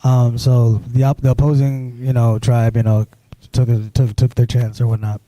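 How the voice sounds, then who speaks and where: A man telling his life story as a guest on a live stream, halting and filling gaps with "you know" and "um". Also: medium pitch, flat delivery, mellow, dry recording, low-quality recording. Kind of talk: personal storytelling in an interview.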